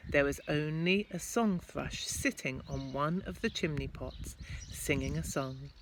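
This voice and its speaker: A woman's voice: deep voice, unhurried. A woman reads a storybook to children expressively, adding chatty asides and questions to young listeners. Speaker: primary school staff member reading to children. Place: at home, apparently outdoors in garden. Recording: clear background noise, amateur home recording.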